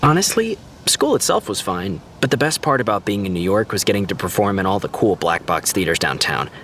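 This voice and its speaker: Low, lively, diplomatic man. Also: fairly quick, a little hiss or hum. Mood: friendly, chatty. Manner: casual, diplomatic, hedging. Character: easygoing, diplomatic actor mediating between friends. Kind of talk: naturalistic audio drama dialogue.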